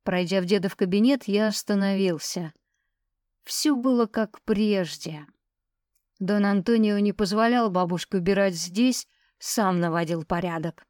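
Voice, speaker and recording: A woman's voice: mid-range voice, unhurried, darkish tone, dry recording. Woman narrating fiction, very dry close sound. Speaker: audiobook narrator. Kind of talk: scripted first-person fiction narration.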